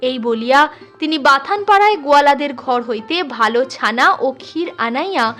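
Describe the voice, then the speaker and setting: A woman reading a classic short story as a storyteller, in an expressive, measured and dramatic scripted narration. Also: high-pitched, soft background music. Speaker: storyteller reading literary fiction.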